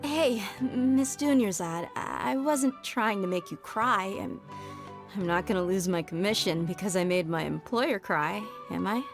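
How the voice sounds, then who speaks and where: Lady voicing a tough cocky merc woman, mid pitch, casual swagger, game scene with soft music behind. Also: measured pace, close to an everyday voice. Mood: sheepish. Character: tough, cocky female mercenary bodyguard, brash yet loyal. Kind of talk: anime game voice acting.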